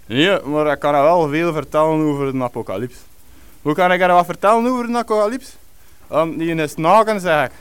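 A man's voice: a little high, a little fast, clear, resonant tone, natural voice. A man with a Flemish accent playing a rambling, slurring village drunk who prophesies doom in a comic radio play. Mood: ranting. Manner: rambling, slurred, sing-song.

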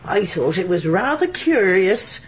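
A woman's voice: mid-range voice, unhurried. An actress playing a chatty, gossipy woman innkeeper in a radio drama. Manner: gossipy, homely talk. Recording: archive radio drama with steady hiss.

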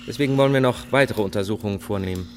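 Male actor as hospital doctor, cautious, hemming, measured, evasive, radio drama, faint ambient noise. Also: on the low side, close to an everyday voice. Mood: cautious, explaining. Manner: hesitant, measured, with many hems. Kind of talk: German radio drama acting.

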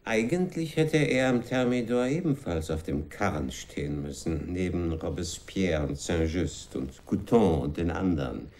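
Deep, dark-voiced prelate. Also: male voice, a little slow, few pauses. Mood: sardonic, grave. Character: dry, sardonic senior clergyman. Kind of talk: German radio drama acting.

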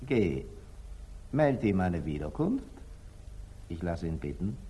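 An actor playing a lively, wise wealthy merchant in a theatrical 1940s German radio drama. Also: male voice, low-pitched, takes it slowly, a little hiss or hum, heavily acted. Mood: amused, eager.

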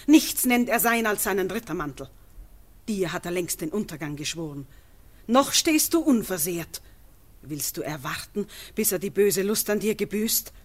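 An actress playing a wise, courageous farmer's wife in a classic radio drama, speaking verse earnestly and persuasively. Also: female voice, mid-range voice, animated, moderate pace, close to an everyday voice.

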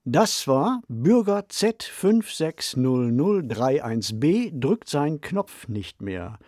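Male co-host, mid-range voice, measured reflective discussion, dry studio sound. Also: medium pitch, very animated, a little mellow, no echo. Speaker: podcast co-host. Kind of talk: reflective discussion of a radio play.

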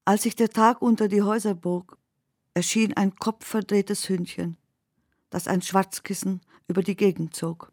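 A woman reciting poetry in a measured, deliberate way, as in a radio drama. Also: mid-range voice, steady tone, a little mellow, no room sound, studio quality.

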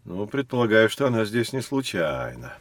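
An actor playing a kindly, encouraging professor who explains things in a friendly way in a children's audio drama. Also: male voice, fairly low pitch, very animated, normal speed, dry recording. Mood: welcoming, reassuring.

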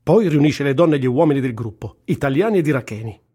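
A husky male voice at medium pitch tells a war journalist's own story for radio. He is tender and wry, mixing literary recitation with blunt, earthy colloquial turns. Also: animated, fast, warm tone. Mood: wry, brisk. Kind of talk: first-person narration in a radio reading.

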